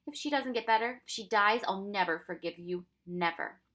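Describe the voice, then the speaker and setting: A woman's voice: medium pitch, normal speed, pushed and bright, lightly acted. A woman voicing a fierce, loyal young boy in a storybook, speaking in an emphatic, defiant way. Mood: choked, bitter.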